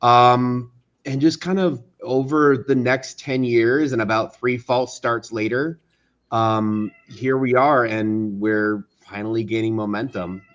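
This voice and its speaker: A man's voice: medium pitch, fairly even intonation, slow. Man with slightly dull sound, casually recounting. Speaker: interview guest, studio co-founder.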